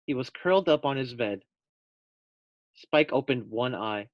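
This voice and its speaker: A young man, a teacher, reading a picture book aloud to first graders, gentle and unhurried with friendly asides. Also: medium pitch, lively intonation, no room sound, highs cut off.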